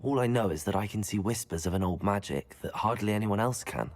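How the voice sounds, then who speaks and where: A polite, lower-voiced young wizard. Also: male voice, lively intonation, darkish tone. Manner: measured, polite, reserved. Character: calm, discreet new student wizard.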